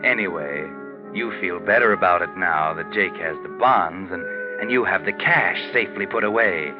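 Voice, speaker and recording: Man playing a low, ominous mystery narrator. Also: moderate pace, lightly acted. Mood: taunting, sly. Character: knowing, ominous, taunting male mystery narrator. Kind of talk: 1940s radio mystery narration in second person. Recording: muffled old radio recording with music.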